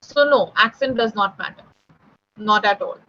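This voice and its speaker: A woman's voice: medium pitch, normal speed, sharp tone, highs cut off. A young woman from Delhi with a Delhi Indian English accent, tutoring spoken English in a conversational online lesson and often checking in with "right?".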